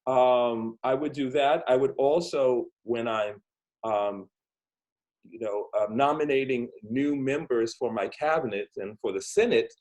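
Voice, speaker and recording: A man's voice: medium pitch, measured pace, a little mellow, poor audio. Earnest man hosting a kids' story interview. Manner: earnest, thinking aloud with fillers and restarts. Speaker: host.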